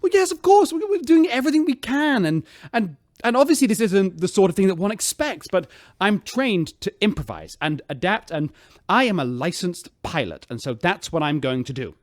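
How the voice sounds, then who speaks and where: An actor playing a flustered, falsely reassuring spaceship captain who stammers nervously in a sci-fi radio drama. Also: male voice, high voice, lively intonation, moderate pace, no echo, clean studio sound. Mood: nervous reassurance.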